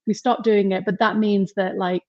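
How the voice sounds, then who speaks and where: A woman's voice: mid-range voice, little pitch movement, normal speed, no room sound. English woman, podcast guest, chatty and rambling, dry dull sound over a video call. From England. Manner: chatty, rambling, laughs often. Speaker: podcast guest, marketing mentor. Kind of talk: casual interview chat.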